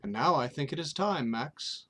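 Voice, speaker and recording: An actor playing a courtly, sinister old man of science at a dramatic table read, speaking formally and deliberately. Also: on the high side, animated, bright, forceful tone. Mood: calculating. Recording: noisy, slightly dull recording with clicks.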